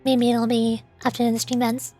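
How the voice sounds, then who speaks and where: A woman's voice: a little high, little pitch movement, normal speed, mellow, no echo, soft background music. A woman livestreamer chatting casually, rambling and often interrupting herself, her voice starting to tire. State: voice starting to get worn out. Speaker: VTuber livestreamer.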